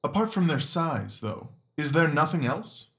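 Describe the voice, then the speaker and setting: A man playing a brisk, commanding, observant gentleman detective in an audio drama, with clipped, formal, instructive phrasing. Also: fairly high pitch, big pitch swings, full character voice. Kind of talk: audio drama acting of Victorian story.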